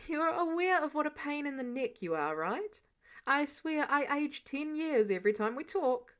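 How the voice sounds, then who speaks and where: A woman's voice: high voice, big pitch swings, normal speed, fairly bright tone, voice pushed up. Lady doing a grown guy superhero mentor, dry and casual, sarcastic but protective, story reading. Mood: wry. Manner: casual, dryly sardonic. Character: wry, protective adult male superhero mentor. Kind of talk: character dialogue in fan fiction reading.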